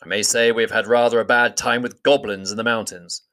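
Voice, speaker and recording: A man voicing an old wizard, measured and formal in speech. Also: on the low side, fairly even intonation, fairly bright tone, natural voice. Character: old male wizard, courteous and grave.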